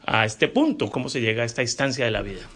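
A man's voice: medium pitch, animated, fast talker, a bit bright. A man hosting a podcast, introducing his guest and asking questions in an upbeat, encouraging way. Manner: upbeat, encouraging, short affirmations. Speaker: podcast host. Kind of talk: podcast interview.

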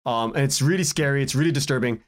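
A man's voice: medium pitch, fast talker. A man telling stories casually as a podcast guest, chatty and acting out the dialogue he quotes. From Canada. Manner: chatty, acts out quoted dialogue, chuckles while talking. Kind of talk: animated casual storytelling in an interview.

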